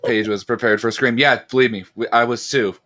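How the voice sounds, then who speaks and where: A man hosting a casual live talk show, chatty and rambling and joking at himself, his voice a bit raspy today. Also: mid-range voice, steady tone, brisk, pushed and bright. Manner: chatty, rambling, self-deprecating. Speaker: podcast host. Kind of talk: casual chat.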